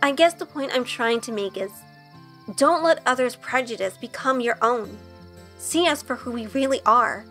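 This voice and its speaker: A woman's voice: fairly high pitch, expressive, moderate pace, fairly bright tone, soft background music. A woman playing an earnest young schoolgirl witch who narrates and speaks in an audio drama. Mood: earnest, pleading. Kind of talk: first-person audio drama narration and dialogue.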